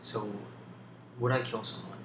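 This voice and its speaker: A man speaking as an ASMR video host, answering questions off the cuff in a hesitant, thoughtful way with long pauses. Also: mid-range voice, level tone. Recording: roomy, distant, clearly noisy home recording.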